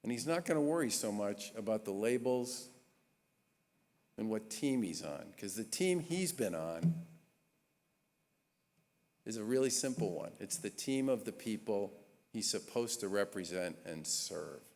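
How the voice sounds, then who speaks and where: A man in his sixties, a state governor, giving an earnest, emphatic introduction speech with measured pauses at a gala. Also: lowish voice, lively intonation, darkish tone. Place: large historic church. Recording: long reverb of a large church hall.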